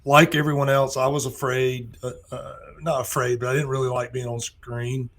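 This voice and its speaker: Hoarse man, guest on a live online talk show, casual and earnest, mid-pitched. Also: flat delivery, normal speed, a bit bright. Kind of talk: casual chat.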